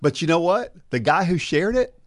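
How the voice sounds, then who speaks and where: A man's voice: a little high, lively intonation, normal speed, dry recording, studio quality. A man hosting a casual talk show, chatty and encouraging, often restarting his sentences and tagging them with "right?". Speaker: podcast host. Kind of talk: casual chat.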